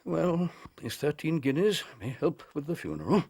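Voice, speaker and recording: Emphatic older English doctor, animated. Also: male voice, fairly high pitch, moderate pace, vocal fry, breathy edge, heavily acted. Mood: sombre grief. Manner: emphatic, earnest, formal. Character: grave, proud, compassionate older male country doctor. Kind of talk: British radio drama acting.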